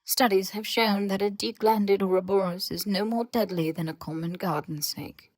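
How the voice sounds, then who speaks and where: A woman playing an aloof, sarcastic researcher who lectures in a measured, formal and dry way in an audio story. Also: mid-range voice, steady tone, darkish tone, dry recording, close to an everyday voice. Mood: measured, lecturing. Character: aloof, sarcastic, scholarly government researcher speaking through voice-disguising charm. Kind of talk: scripted audio fiction dialogue.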